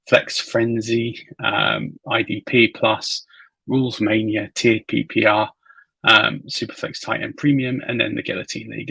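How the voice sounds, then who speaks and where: A man hosting a podcast. He explains sign-up details in a casual, rambling way, and his voice is still croaky. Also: fairly low pitch, bright, forceful tone, no echo, poor audio. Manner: rambling, filler-heavy explanation. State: croaky, recovering voice. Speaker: podcast host.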